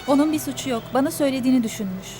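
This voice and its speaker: Young woman, hurt, firm, high voice, quick, reproachful, violin score behind. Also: animated. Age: young adult. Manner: quiet, measured and reproachful. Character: young servant's daughter, hurt but firm. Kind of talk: TV drama acting. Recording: TV drama with music score.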